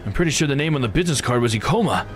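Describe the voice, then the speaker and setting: A man's voice: mid-range voice, animated, rapid-fire, soft background music, close to an everyday voice. A man voicing an urgent, distressed young man in a visual novel game. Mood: earnest. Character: young distressed man, son of a murdered father.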